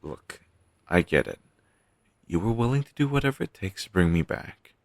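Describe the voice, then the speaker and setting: A man playing a weary, caring undead best friend in an intimate audio roleplay, talking casually and earnestly with halting phrases. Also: deep voice, sing-song, gravelly, no room sound, studio quality, heavily acted. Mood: worried, gentle. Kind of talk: audio roleplay, intimate one-sided dialogue.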